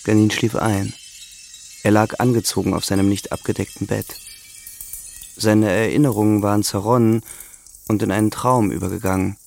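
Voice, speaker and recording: Low, creaky male narrator. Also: fairly even intonation, moderate pace, pauses often, darkish tone, slight background noise. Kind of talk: scripted literary narration.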